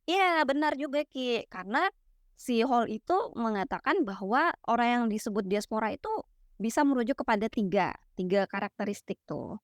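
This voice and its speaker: A young woman from West Sumatra explaining ideas in a relaxed, teacherly chat, full of fillers and tag questions. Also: a little high, moderate pace, no echo, studio quality. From West Sumatra, Minangkabau. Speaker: guest explaining literary theory.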